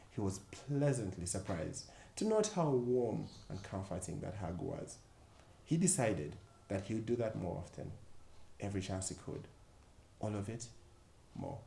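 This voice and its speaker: A man reading a children's story expressively, with dramatic pauses and emphasis. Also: on the low side, very animated, vocal fry, warm tone. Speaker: volunteer storyteller at a children's book club. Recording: echoey room with faint background noise.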